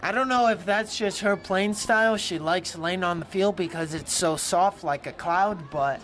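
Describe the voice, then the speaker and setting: A woman doing loud, joking play-by-play commentary for a livestream from the press box of an outdoor stadium. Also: lowish voice, normal speed. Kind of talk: live sports commentary.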